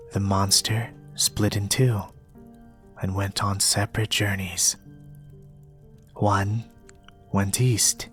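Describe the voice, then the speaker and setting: Slow, soft-spoken young man, low voice. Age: young adult. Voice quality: soft-spoken, partly whispered. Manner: slow, gentle, measured delivery. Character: polite soft-spoken young male reader, quietly eerie. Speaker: storytelling ASMR performer. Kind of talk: ASMR storybook reading in character. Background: soft music.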